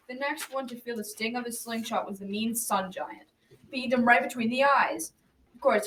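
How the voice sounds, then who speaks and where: A woman's voice: on the high side, a bit bright, close to an everyday voice. A school-age girl playing a folksy narrator, reading a scripted folktale in an amateur radio drama. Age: child about 12 to 13. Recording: distant mic, faint noise.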